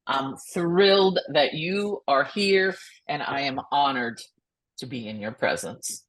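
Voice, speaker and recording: A woman's voice: very deep voice, takes it slowly, poor audio. A woman hosting a motivational podcast as a coach, enthusiastic and effusive, who sometimes stumbles over words while reading.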